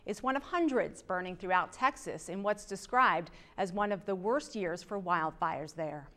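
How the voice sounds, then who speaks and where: A woman's voice: fairly low pitch, moderate pace, a bit bright. A middle-aged woman reading scripted television news as an anchor in a studio.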